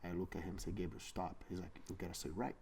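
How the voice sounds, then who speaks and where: A man's voice: low-pitched, fairly even intonation, fast talker, vocal fry, background noise. A middle-aged man from Brazil with a Brazilian Portuguese accent, a jiu-jitsu instructor chatting playfully in broken English. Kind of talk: casual chat.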